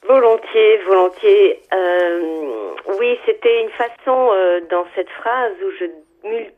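A woman's voice: medium pitch, slow pace. A woman in her seventies from Algeria, a writer answering interview questions. She speaks in a thoughtful, hesitant way, with many fillers, and lists her ideas one after another. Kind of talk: radio interview, reflective answers. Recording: phone-line sound, narrow band.

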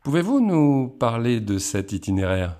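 A man's voice: mid-range voice, sing-song, moderate pace, dark tone. Man reading a poetic introduction. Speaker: interviewer. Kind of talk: reads a literary introduction, then brief acknowledgments.

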